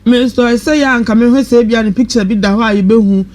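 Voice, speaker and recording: A woman's voice: on the high side, fast, darkish tone. A woman with a Ghanaian accent giving a spontaneous personal account as a guest on a live radio discussion. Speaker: guest telling her personal story. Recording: noisy live radio studio sound.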